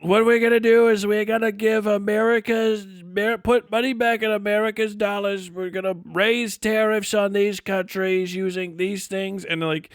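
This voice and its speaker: A man's voice: high-pitched, steady tone, moderate pace, few pauses, no echo, studio quality. A man giving casual, opinionated livestream commentary in a blunt, sarcastic and profane way. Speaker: livestream host reacting to a debate video.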